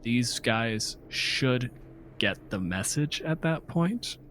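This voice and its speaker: A man's voice: mid-range voice, animated, slow, a bit bright, slight background noise. A man running a tabletop game as game master, narrating in a conversational, joking way.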